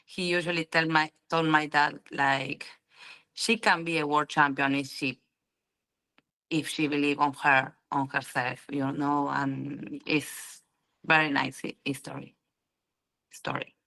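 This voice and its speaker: Low, unhurried Argentine woman. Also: little pitch movement, gravelly, pushed and bright, rough recording. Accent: Argentine Spanish. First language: Spanish. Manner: halting learner's English, many ums and "you know". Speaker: interview guest, pool player. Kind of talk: casual interview answers.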